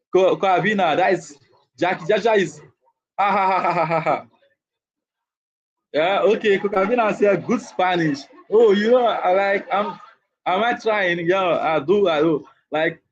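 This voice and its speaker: A man's voice: high voice, muffled recording. Nigerian man chatting on a livestream. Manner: repeats his phrases over and over, chatty. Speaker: livestream host. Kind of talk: casual livestream chat with viewers.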